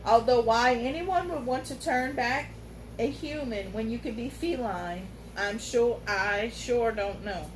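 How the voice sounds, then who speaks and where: A woman voicing an old, wise, fussy talking tomcat who speaks in a measured, lecturing way. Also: a little high, a little hiss or hum, lightly acted. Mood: grave. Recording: roomy room, faint ambience.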